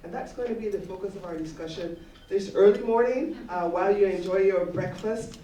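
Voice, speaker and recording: Mellow woman opening a meeting, echoey room. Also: mid-range voice, fairly quick. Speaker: co-facilitator. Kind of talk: welcoming opening remarks to a group. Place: indoor breakfast roundtable session. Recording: echoey room, distant mic, faint noise.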